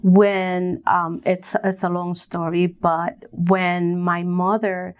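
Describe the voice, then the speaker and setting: Female interview guest from Puerto Rico, slightly low voice, conversational and halting storyteller. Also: flat delivery, smooth. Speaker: interview guest, business coach.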